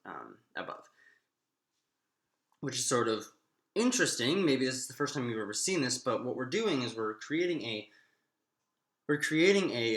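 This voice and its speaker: Man lecturing in an echoey room, animated pitch. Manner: halting, thinking aloud with drawn-out pauses. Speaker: math lecturer. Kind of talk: informal lecture, working through a derivation aloud. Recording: roomy, echoing room sound.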